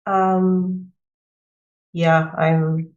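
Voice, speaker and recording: A woman's voice: lowish voice, flat delivery, extremely slow, darkish tone, muffled recording. Hesitant woman, echoey room. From Romania. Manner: hesitant, many fillers. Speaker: backend engineer. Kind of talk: casual video call discussion. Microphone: video call.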